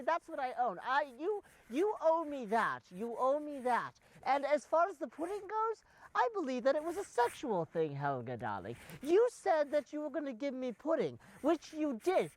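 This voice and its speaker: A woman playing a haughty, demanding British gentleman in improvised comedy, pompous and carefully enunciated. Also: high voice, voice pushed up. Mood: outraged, bossy. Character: haughty, demanding, helpless British gentleman employer, easily outraged. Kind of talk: improvised comedy role-play.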